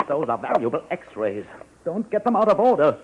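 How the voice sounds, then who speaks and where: Indignant, wildly swooping radio character. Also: male voice, fairly high pitch, big pitch swings, moderate pace, darkish tone, muffled recording, a little hiss or hum. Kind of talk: 1950s radio drama acting.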